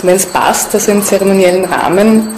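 A woman's voice: mid-range voice, brisk, low-quality recording. Woman explaining as expert over music. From Austria. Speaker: ethnologist, interviewed expert. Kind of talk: spontaneous explanatory interview talk. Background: background music.

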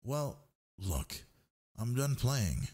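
A man playing a sleepy, needy gamer boyfriend in an intimate audio roleplay, coaxing in soft, breathy murmurs. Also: medium pitch, sing-song, full character voice. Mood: pleading, coaxing. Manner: coaxing, murmuring, drawn-out words.

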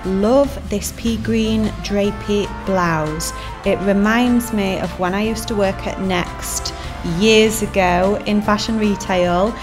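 A woman in her thirties talks through a city fashion vlog in a relaxed, chatty way, trailing off into little asides. Her voice sits at an ordinary middle pitch. Clear background music plays under the voice-over. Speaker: fashion vlogger.

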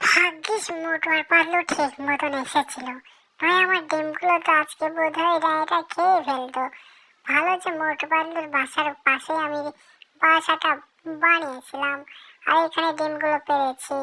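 A tiny, anxious cartoon mother bird, voiced by a woman, extremely high-pitched and bright. There is a creaky edge to the fretful, complaining delivery. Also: steady tone, moderate pace, full character voice, poor audio. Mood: relieved, worried. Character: small worried mother tailorbird guarding her eggs. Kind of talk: cartoon dialogue.

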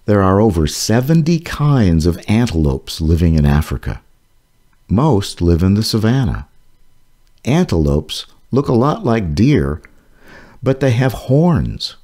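Slow, low-voiced man reading aloud. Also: sing-song, warm tone. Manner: slow, careful, clearly paced reading. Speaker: author reading his own children's book. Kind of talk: read-aloud narration for children.

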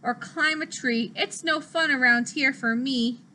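Earnest young platypus newcomer for a picture book, voiced by a female reader: high, bright, simple delivery. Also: unhurried, slight background noise. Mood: wistful.

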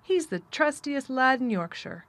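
Young storybook girl, spirited and forthright, quick to speak up, voiced by a female narrator. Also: high-pitched, expressive, a bit bright, voice pushed up, heavily acted. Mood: earnest.

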